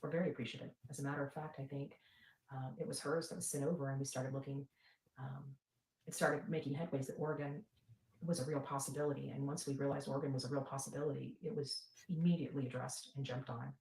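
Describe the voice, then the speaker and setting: A low-pitched woman hosts a casual livestream in a roomy, echoing space. She rambles to her followers and repeats phrases for emphasis. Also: fairly even intonation, quick pace, darkish tone. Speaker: livestream host and advocate.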